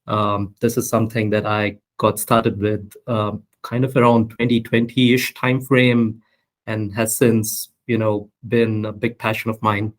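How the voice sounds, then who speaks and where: A man in his thirties from the United States, a guest talking calmly about himself on a podcast with frequent fillers. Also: lowish voice. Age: 30s. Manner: measured, self-introducing with fillers. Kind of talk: casual interview.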